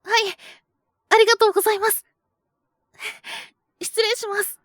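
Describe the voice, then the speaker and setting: A woman's voice: extremely high pitch, level tone, moderate pace, fairly bright tone, no echo, clean studio sound. A woman voicing an earnest, naive young man who speaks politely and hesitantly, pleading with resolve, in an audio drama. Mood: polite, grateful. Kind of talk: Japanese situational audio drama acting.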